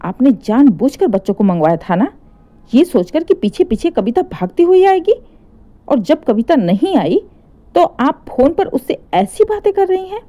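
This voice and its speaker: A woman's voice: sits high, big pitch swings, moderate pace, warm tone, voice pushed up. A woman voicing an indignant older mother defending her married daughter in a story, firm, sarcastic and emphatic. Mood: indignant, accusing.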